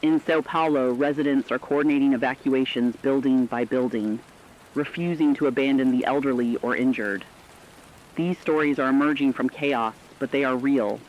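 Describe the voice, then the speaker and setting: A man's voice: mid-range voice, fairly even intonation, normal speed, dull audio, slight background noise, lightly acted. A man acting as a radio correspondent, reading scripted dramatic news in a solemn, earnest, rhetorical manner. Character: solemn radio correspondent reporting human survival amid apocalypse.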